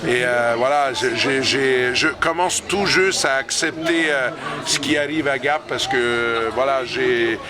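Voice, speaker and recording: A middle-aged hockey coach, answering post-game interview questions slowly, with many pauses and restarts. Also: male voice, medium pitch. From Canada. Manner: hesitant, many fillers and restarts. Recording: loud crowd chatter behind.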